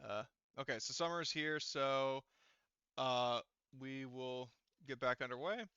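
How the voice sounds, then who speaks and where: Clear, bright male game master, dry sound. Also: mid-range voice, dry recording, lo-fi sound. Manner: halting, rambling, filler-heavy delivery. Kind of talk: casual tabletop game narration and table talk. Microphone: online voice call microphone.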